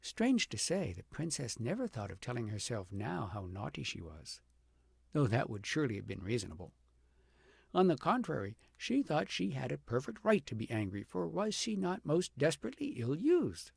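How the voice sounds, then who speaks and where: Male audiobook reader, husky and creaky, dramatic fairy-tale delivery, close dry sound. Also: medium pitch, wildly swooping pitch, moderate pace, dry recording. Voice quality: gravelly, slightly husky. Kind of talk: expressive storybook narration of a fairy tale.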